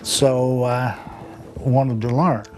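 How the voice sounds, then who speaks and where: A man's voice: mid-range voice, level tone, drawn-out words, noisy background. A man recounting his experience as an interviewee in a documentary. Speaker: interviewee, emergency dispatch center director. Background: music bed.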